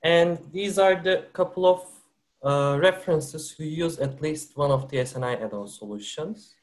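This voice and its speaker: Male webinar host, fairly high voice, measured and hesitant delivery. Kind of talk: corporate webinar presentation. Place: works for a company based in Turkey.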